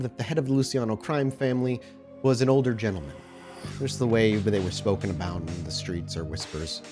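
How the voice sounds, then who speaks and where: A man as game master, giving atmospheric tabletop game narration with dramatic, deliberate phrasing. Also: medium pitch. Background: music.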